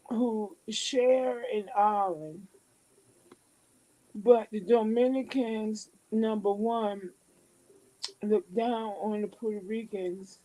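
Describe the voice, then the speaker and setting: A woman in a casual discussion, asking a long, deliberate question. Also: medium pitch, dark tone, low-quality recording.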